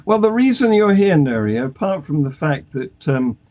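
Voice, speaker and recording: A man's voice: fairly high pitch, sing-song, normal speed, darkish tone. A man hosting a live online talk show, wry and self-deprecating, chuckling as he talks. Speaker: host. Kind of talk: casual chat, hosting.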